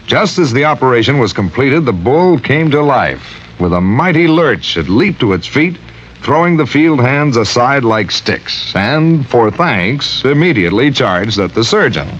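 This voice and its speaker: A man reading a scripted story as a radio announcer. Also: mid-range voice. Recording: dull, faintly noisy old radio recording.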